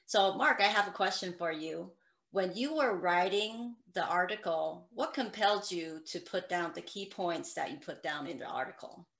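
A woman's voice: lowish voice, moderate pace, gravelly, fairly bright tone. Middle-aged woman w/ taiwanese accent on a webcam call, kinda echoey room, talks earnest with restarts. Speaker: attorney. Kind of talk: video call conversation. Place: home room with piano. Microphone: video call webcam.

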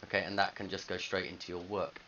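Man, forceful bright tone, instructional, thinking aloud step by step, dull headset recording. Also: deep voice, little pitch movement, fast. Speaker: design teacher. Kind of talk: screencast software tutorial, informal step-by-step narration. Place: indoors at a computer. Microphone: headset microphone. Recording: dull lo-fi headset audio, faint hiss.

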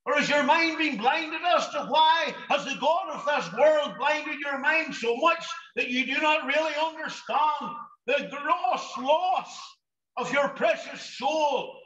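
Preacher man, really high bright voice, pleading and shouting, echoey lo-fi tape. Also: slow pace. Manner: impassioned, pleading, bursts of shouting. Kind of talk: gospel sermon. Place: drive-in gospel meeting. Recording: echoey, dull lo-fi recording.

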